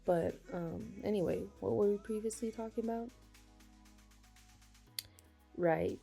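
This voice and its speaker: A woman's voice: medium pitch, measured pace, creaky, dark tone, soft background music. A young woman playing a chatty, dramatic best friend in a casual ASMR audio roleplay. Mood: casual, resigned. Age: young adult. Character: chatty, caffeine-loving female best friend, self-deprecating about dating.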